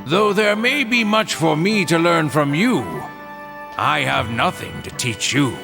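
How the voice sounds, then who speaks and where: A man voicing a genteel, wry veteran magic professor in a fantasy game, speaking with deliberate courtesy. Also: fairly high pitch, animated, gravelly. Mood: wry, courteous. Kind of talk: English-dubbed fantasy game cutscene acting. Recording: game music behind the voice.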